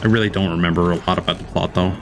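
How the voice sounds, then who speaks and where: Low-voiced, unimpressed man streaming. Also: steady tone, very fast, gravelly, background noise. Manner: sceptical, unimpressed. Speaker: streamer reacting to a games showcase. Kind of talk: casual live reaction commentary.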